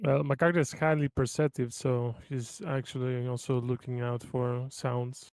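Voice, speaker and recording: Male tabletop player, medium pitch, casual, very dry recording. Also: level tone, moderate pace, darkish tone, clear, resonant tone, no room sound. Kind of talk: casual game chat.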